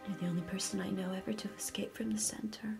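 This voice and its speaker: A woman with a creaky, mid-pitched voice plays an anxious, guarded handmaid in an audio drama. She is hesitant and earnest, with music clearly heard behind her. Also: fairly even intonation, fast talker, close to an everyday voice. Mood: hushed, earnest.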